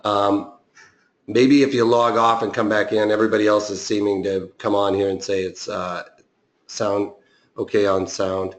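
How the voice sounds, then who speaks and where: Man, raspy, low-pitched, patient trading instructor, echoey room. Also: level tone, normal speed. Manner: explanatory, with frequent fillers and restarts. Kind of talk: live webinar lesson.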